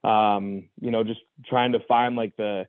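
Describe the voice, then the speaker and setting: A man's voice: on the low side, flat delivery, measured pace, dry recording. A young adult man, a guest, telling his own story candidly in conversation, earnest and reflective, with many restarts and "you know"s. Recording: tinny phone-like line.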